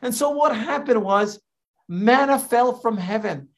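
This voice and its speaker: A man from Guyana, an evangelist, preaching a sermon in a slow, deliberate way with emphatic rises. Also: very high-pitched, lively intonation, fairly bright tone.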